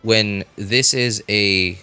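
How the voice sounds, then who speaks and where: A man's voice: on the low side, steady tone, extremely slow, sharp tone, faint music behind. A man chatting casually as a game streamer, thinking aloud in a rambling, repetitive way. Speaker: game livestreamer. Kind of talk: casual livestream chat.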